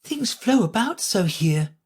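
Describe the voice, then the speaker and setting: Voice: medium pitch, sing-song, unhurried, a little mellow, breathy edge, pitched above the performer's own voice. A reader voicing a polite, earnest young girl of seven for a storybook. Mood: puzzled. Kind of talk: storybook reading.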